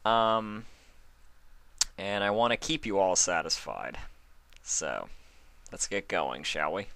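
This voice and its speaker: A man's voice: sits low, takes it slowly, gravelly, a bit bright. A man hosting and narrating a fan fiction reading, moving between casual talk and storybook narration. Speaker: fan fiction reader, channel host. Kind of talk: casual spoken introduction, then storybook narration.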